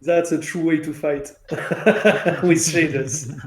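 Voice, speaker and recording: A man's voice: on the high side, steady tone, slow pace, echoey room, a little hiss or hum. A man co-hosting a live stream, joking and laughing a lot as he talks. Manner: laughs a lot, playful hype. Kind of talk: casual joking chat.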